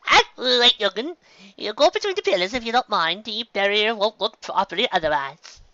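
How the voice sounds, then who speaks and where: A man's voice: high voice, lively intonation, moderate pace, pushed and bright, no room sound, dull audio, pitched above the performer's own voice. A man voicing a cheery, fussing old mare who welcomes guests in a folksy way, in a storybook reading. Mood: brisk, reassuring. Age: older. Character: kindly, welcoming old mare, eccentric pony hostess.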